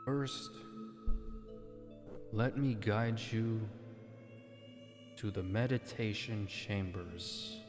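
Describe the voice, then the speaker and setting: A man hosting an ASMR roleplay stream, chatting softly to his listeners. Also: on the low side, drawn-out words, pauses often, music in the background. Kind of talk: soft ASMR roleplay chat.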